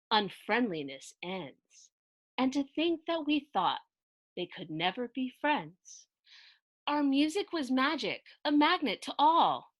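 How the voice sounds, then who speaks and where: A woman reading a children's picture book aloud in an expressive, theatrical, sing-song way with emphatic rhyming delivery. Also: high-pitched, no echo. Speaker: storybook narrator reading aloud.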